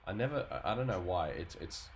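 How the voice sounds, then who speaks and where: A man's voice: sits low, sing-song, fairly quick, vocal fry, over music. A man in his thirties chatting casually and thinking aloud as he streams his work as a sound designer. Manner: rambling, informal, thinking aloud. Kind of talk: casual livestream chat while working. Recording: noisy home stream mic, background noise.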